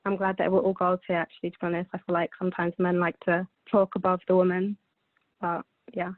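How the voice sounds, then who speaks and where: A woman's voice: lowish voice, flat delivery, quick pace, no echo. A woman, a fashion student who chats freely and laughs easily. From London, United Kingdom. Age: 20s. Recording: tinny dry phone-like call audio.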